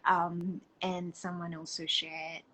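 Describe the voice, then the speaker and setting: A woman's voice: on the low side, little pitch movement, takes it slowly, bright, forceful tone, low-quality recording. A woman from Singapore hosting a conversational interview, speaking effusively and repeating words for emphasis. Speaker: interview host.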